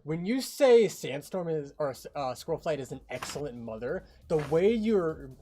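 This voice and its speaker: A man's voice: fairly high pitch, big pitch swings, normal speed, warm tone, a little hiss or hum. A man co-hosting a behind-the-scenes livestream, talking casually about a creative project.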